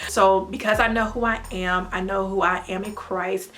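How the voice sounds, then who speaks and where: Earnest young American mom vlogging. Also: female voice, medium pitch, moderate pace, soft background music. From United States. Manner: earnest, faith-filled, animated with playful outbursts. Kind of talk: casual talk to camera.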